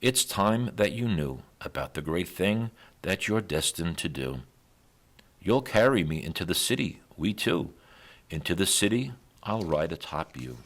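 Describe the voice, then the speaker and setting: A man playing a calm, wise holy man in a storybook, speaking kindly, softly and solemnly. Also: low-pitched, measured pace, full character voice.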